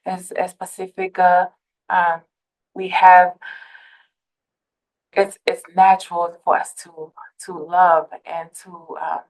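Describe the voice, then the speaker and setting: Tongan-accented woman presenter, slow and even, lowish voice, hesitant with fillers. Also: low-quality recording. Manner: hesitant, many fillers and restarts. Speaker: presenter for a behavioral health center. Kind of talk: webinar presentation.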